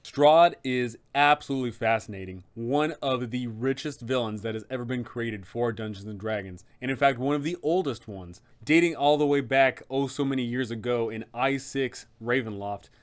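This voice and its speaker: A man's voice: mid-range voice, moderate pace, hardly any pauses. A man you would hear hosting an online guide for game masters, laying out villain tips with a storyteller's emphasis. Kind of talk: scripted, dramatic explanatory narration.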